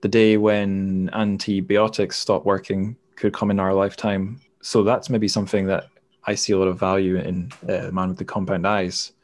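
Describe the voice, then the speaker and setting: Male podcast host, twenties, lowish voice, hesitant and reflective literary talk. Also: level tone, normal speed, a little mellow. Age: 20s. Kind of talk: literary discussion.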